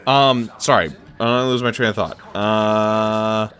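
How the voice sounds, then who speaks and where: A man's voice: fairly low pitch, a little slow, a bit bright. A man hosting a casual gameplay video, musing aloud and chatting about what he sees. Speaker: gaming video host. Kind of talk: casual let's play commentary.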